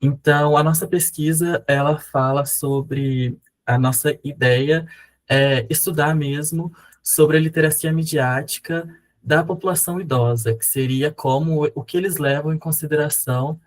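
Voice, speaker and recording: A man's voice: a little high, fairly even intonation, a little slow. Young Brazilian man presenting his research. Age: young adult. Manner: explanatory, with fillers. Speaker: student presenter. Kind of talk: conference presentation. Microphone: video call.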